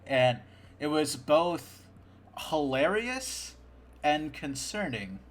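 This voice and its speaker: A man's voice: on the high side, very animated, drawn-out words. A man hosting a casual podcast chat, talkative, laughing often and stumbling over restarts. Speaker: podcast host.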